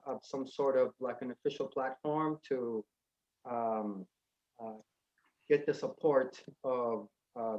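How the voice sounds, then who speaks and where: A man from Sudan, raised in Los Angeles, speaking haltingly as a film producer in a panel discussion. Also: medium pitch. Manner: halting, searching for words. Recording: dull lo-fi sound.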